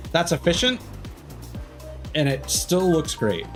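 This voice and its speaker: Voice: medium pitch, sing-song, takes it slowly, music in the background. A game streamer giving casual live commentary, chatting and thinking aloud while playing.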